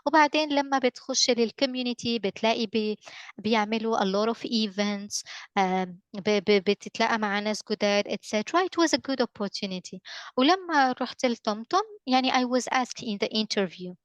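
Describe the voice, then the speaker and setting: A young Moroccan woman whose first language is Arabic speaks on a video call, her voice smooth and resonant. She talks fast in bursts, stopping often on fillers and restarts. The sound is dry and close. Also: fairly high pitch, no echo, lo-fi sound. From northern Morocco. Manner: hesitant, many fillers and restarts, friendly advice tone. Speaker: guest speaker from a mapping company. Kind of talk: webinar answer, mixing languages mid-sentence.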